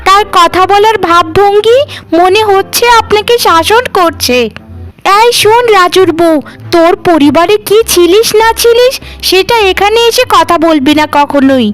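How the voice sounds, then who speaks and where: Cartoon villain: spiteful sister-in-law voiced by a woman, extremely high pitch, sneering, bossy scold. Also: measured pace, a bit bright, faint music behind, poor audio. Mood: angry, mocking. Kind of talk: Bengali family cartoon dialogue.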